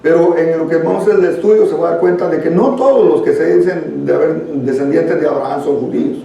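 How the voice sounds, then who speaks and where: A man's voice: on the high side, fairly quick, gravelly, dark tone, lo-fi sound. A man with a Guatemalan accent leading a church Bible study, reading and explaining scripture in an earnest, conversational teaching manner. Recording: very echoey room, distant microphone.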